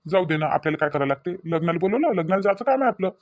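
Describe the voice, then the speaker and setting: Woman voicing a quick-talking, placid Varhadi father-in-law. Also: on the low side, expressive, dry recording, muffled recording. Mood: easygoing. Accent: Varhadi Marathi from Vidarbha. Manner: conciliatory, matter-of-fact. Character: placid older father-in-law, mild peacemaking rural husband. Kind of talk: cartoon comedy dialogue.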